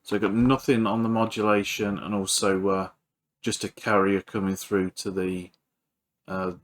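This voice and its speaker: A man giving casual hands-on repair commentary as a video host, halting and thinking aloud while he works. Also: lowish voice, level tone.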